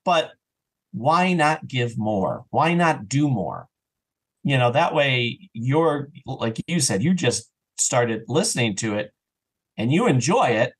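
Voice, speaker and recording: Man, medium pitch, interview guest, casual chat, animated storyteller, self-interrupting. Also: takes it slowly, breathy edge. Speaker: audio drama writer and actor, interview guest.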